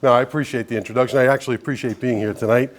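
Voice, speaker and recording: An older man speaks to a meeting in an informal, conversational way. His is Sicilian, and his voice sits at a middle pitch as he talks earnestly in an anecdotal style. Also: fairly even intonation, rapid-fire, crowd noise, background noise. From Brooklyn, New York, USA. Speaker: guest speaker, Italian-American advocate. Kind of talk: informal public talk to a meeting.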